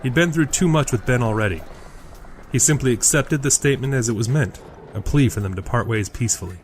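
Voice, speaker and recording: A man's voice: mid-range voice, fairly quick, slight background noise. A man reading scripted narration for a fantasy audio drama.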